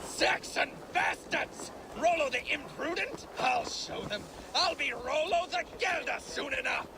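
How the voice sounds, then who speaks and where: Brash teenage Viking captain, high voice, swooping delivery, cocky game role. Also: male voice, expressive, measured pace, heavily acted. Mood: indignant. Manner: cocky, theatrical. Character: brash teenage Danish raider captain, hot-headed braggart. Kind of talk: video game cutscene acting. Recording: noisy game ambience behind.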